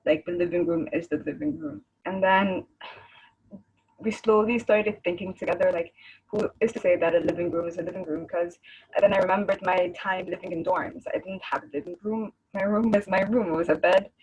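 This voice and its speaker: A woman's voice: on the low side, steady tone, brisk, darkish tone, slightly rough, highs cut off. A woman, an Arabic speaker, chatting casually as a guest artist on a video call. She rambles and searches for words, laughing easily. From Egypt.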